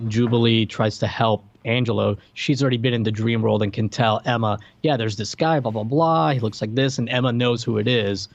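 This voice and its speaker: A man's voice: medium pitch, a little fast, vocal fry, few pauses, dull audio. A man co-hosting a casual film discussion, recounting a plot in a rambling, conversational way full of "like". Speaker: podcast co-host.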